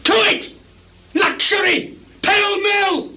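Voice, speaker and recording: An actor playing a mad, bitter old king in theatrical Shakespearean style, lurching between declamatory shouts and hushed mutters. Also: extremely high pitch, takes it slowly, creaky, full character voice. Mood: scornful, manic. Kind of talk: theatrical Shakespearean stage recitation. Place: theatre stage before a live performance audience. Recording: 1980s live theatre recording, background noise.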